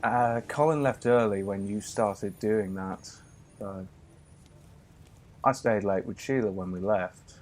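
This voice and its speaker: A man's voice: fairly low pitch, slow pace, darkish tone, slight background noise. An actor playing a cheeky colleague who mocks his friend and swears casually. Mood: mocking. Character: cheeky male colleague, crude and joking.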